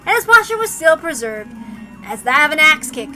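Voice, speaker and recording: A woman narrating a visual novel aloud in an expressive, dramatic storytelling style. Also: sits high, moderate pace, soft background music, poor audio. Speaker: narrator, reader.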